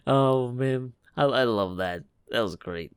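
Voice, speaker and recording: A man's voice: medium pitch, slow, clear, resonant tone, dry recording. A young man giving casual, slangy, joking reaction commentary as he plays a video game. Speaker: gaming YouTuber.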